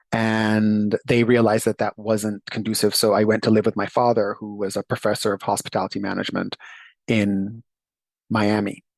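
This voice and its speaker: Low-voiced man, dry sound. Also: no room sound, poor audio. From Jamaica. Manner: thoughtful, warm, laughs easily, occasional restarts. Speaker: interview guest. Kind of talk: reflective interview storytelling.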